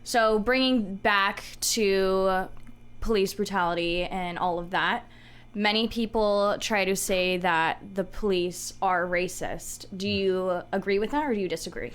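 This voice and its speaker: A woman conducts an interview in a smooth, clear voice of middling pitch. She asks direct questions and keeps the talk moving with short affirmations. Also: unhurried. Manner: brief affirming responses, straightforward questions.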